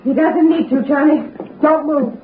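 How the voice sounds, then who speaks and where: An actress playing a young femme fatale, sweet and dangerous, in 1960s radio drama style. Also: female voice, sits high, normal speed, close to an everyday voice. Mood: cold, threatening. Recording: narrow-band old radio, noisy.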